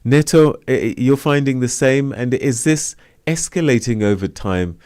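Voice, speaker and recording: A man hosts a news show, asking his guests measured questions with a few hesitations. His voice is smooth and resonant, recorded dry and close in the studio. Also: mid-range voice, a little mellow, no room sound. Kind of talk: moderating a news interview.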